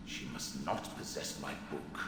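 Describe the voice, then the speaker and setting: A man's voice: unhurried, close to an everyday voice. A man playing the bitter, sardonic ghost of a murdered wizard in a video game, with a weary, theatrical delivery. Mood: weary, grave. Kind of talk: video game character acting. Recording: long ghostly reverb, some noise.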